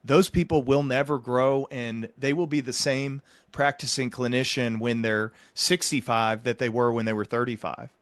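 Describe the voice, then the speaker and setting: A man hosting an interview, talking earnestly and explaining his points with measured emphasis. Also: mid-range voice, steady tone, no room sound. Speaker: podcast host.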